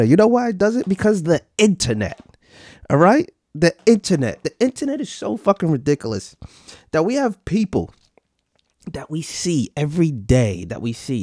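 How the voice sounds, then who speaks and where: A man in his thirties riffs to his live audience, swearing freely and repeating lines for laughs. His voice leaps up and down with lively pitch. The sound is close and dry, with no room echo. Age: 30s. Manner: profane, swaggering, repeats lines for comic effect. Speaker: podcast host. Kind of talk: casual live-stream rant to viewers.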